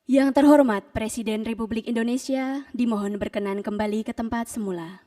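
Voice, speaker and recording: A woman's voice: on the high side, fairly even intonation, warm tone. Formal female ceremony announcer, heard from afar. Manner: solemn, measured and formal. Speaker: master of ceremonies at an official state ceremony. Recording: distant microphone in a ceremonial hall.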